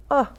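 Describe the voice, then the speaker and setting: A woman hosting children's storytime, reading a picture book aloud expressively with chatty asides to young listeners. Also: highs cut off.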